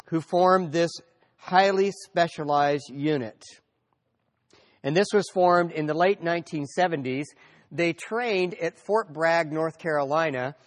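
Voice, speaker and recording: Man lecturing on history, fairly high voice. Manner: storytelling, conversational with fillers and restarts.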